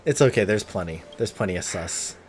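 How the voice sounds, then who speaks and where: A man's voice: low-pitched, animated, a little fast, a little mellow. A man giving casual, joking, slangy reactions as he streams a video game. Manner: irreverent, slangy. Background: game music.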